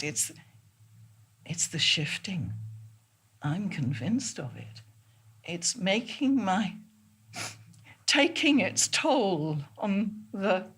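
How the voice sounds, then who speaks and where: An ailing, preoccupied woman, as an actress plays her, husky and breathy, speaking slowly with big swings in pitch. The phrases halt, repeat and trail away in a theatrical monologue. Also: fairly bright tone, heavily acted. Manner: halting, repetitive, trailing off mid-sentence. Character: ailing, distracted woman musing obsessively on small sensations. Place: theatre stage.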